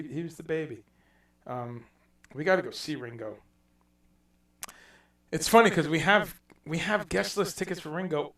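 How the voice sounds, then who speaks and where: Male livestream host, chatty and rambling, raised gravelly voice, echoey room with the mic a bit far off. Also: a little high, a bit bright. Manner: rambling, reads out viewer comments, swears casually. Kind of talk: casual livestream chat.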